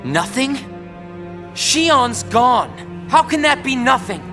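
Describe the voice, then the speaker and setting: A man's voice: extremely high pitch, very animated, slow pace, over music, full character voice. An actor playing an earnest, vulnerable teenage boy in a video game, youthful and sincere. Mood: angry, hurt. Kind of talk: video game cutscene voice acting.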